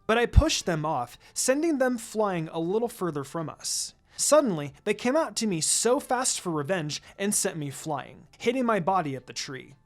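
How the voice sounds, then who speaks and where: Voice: medium pitch, big pitch swings, normal speed, few pauses. A narrator reading a scripted first-person story aloud, acting out bits of dialogue along the way. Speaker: fan fiction narrator.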